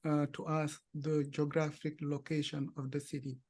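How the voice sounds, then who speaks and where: A man conducting a conversational interview as the interviewer, speaking in halting, deliberate phrases. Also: on the high side, flat delivery, darkish tone.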